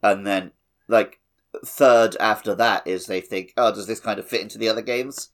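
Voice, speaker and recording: A man casually chatting as a podcast host, animated and dryly opinionated. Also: lowish voice, moderate pace. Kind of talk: casual chat on a gaming podcast.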